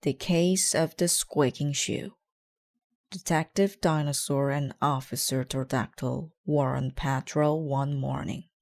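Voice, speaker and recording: Slow, low-voiced woman reading a storybook. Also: steady tone, darkish tone. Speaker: children's book reader. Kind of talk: scripted storybook read-aloud.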